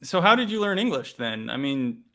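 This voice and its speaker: A man's voice: mid-range voice, very animated, brisk, pushed and bright. A man hosting a casual interview, asking questions with many fillers and restarts. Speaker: interview host.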